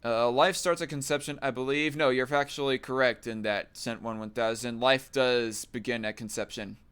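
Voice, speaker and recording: A man on a livestream who sounds bright and forceful, with a very clean, resonant voice. He argues and mocks in crude, casual commentary. He reads chat comments aloud as he goes. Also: medium pitch, steady tone, moderate pace, clear, resonant tone. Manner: crude, mocking, argumentative. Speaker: livestream host.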